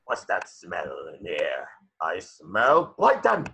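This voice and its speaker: A man voicing a gruff, greedy old crab boss in a cartoon table reading, raspy, blustery and boastful. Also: deep voice, huge pitch swings, slow, pushed and bright, full character voice. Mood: suspicious.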